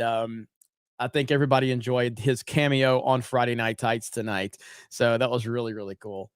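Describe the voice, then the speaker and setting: A man hosting a live stream, his voice smooth and resonant but fraying and cracking at the edges from too many streams. He talks with loose, excited energy, calling out viewers' names and reading their messages. The sound is dry and close, with no room around it. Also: medium pitch, no echo. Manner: energetic, reads out viewer chat and shout-outs. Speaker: host. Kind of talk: casual livestream chat.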